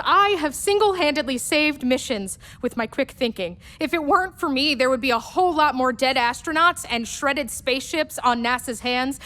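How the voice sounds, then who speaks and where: Woman acting a troubled lady space mechanic, confessional radio drama monologue, highish voice, pauses a lot. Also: a bit bright. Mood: proud, defensive. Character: troubled, self-assured female spaceship mechanic confessing addiction.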